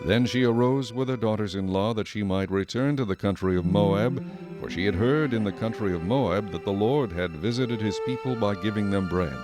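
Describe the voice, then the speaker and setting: A male narrator reading scripture in a measured, solemn way, with music playing behind him. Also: fairly low pitch.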